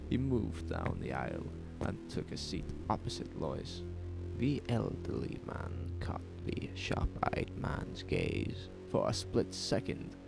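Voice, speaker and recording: A man with an extremely deep, heavily creaky voice narrates a horror audio drama. He takes his time, measured and suspenseful, while his pitch moves expressively. Music plays clearly underneath. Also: huge pitch swings, low-quality recording.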